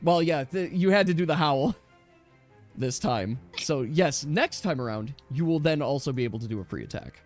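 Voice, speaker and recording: Higher-voiced man running a tabletop game. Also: lively intonation, moderate pace, faint music behind. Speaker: game master. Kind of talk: casual running of a tabletop role-playing game.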